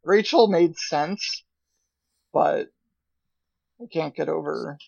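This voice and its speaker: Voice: medium pitch, slow pace, creaky, darkish tone, no room sound, highs cut off. A podcast co-host in casual chat, hesitant and full of fillers.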